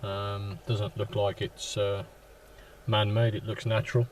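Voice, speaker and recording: Male radio ham, flat low delivery, halting and repetitive, chatting from a parked vehicle with some background noise. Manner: halting, repeats words as they go. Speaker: amateur radio operator. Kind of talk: casual radio contact chat with call signs.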